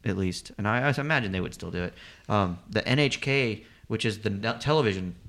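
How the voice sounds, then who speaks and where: A man's voice: lowish voice, brisk. A man chatting casually as a comedian podcast host, rambling and swearing freely as he explains things. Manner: profane, rambling, animated explaining with quoted asides.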